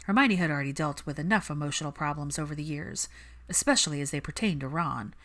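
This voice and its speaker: A woman reading fiction aloud as an audiobook narrator, in an expressive scripted storytelling style. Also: low voice, fast.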